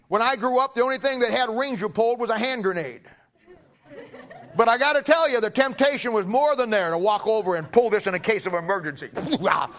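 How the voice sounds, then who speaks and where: A man's voice: extremely high pitch, sharp tone. A middle-aged man preaching a sermon with anecdotes in a folksy, emphatic way, often repeating phrases for weight.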